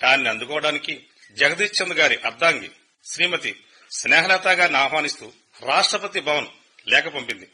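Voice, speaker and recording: An actor in a radio play voicing a government public relations officer on the phone, delivering formal official news. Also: male voice, on the high side, animated, a little fast, sharp tone, husky, heavily acted.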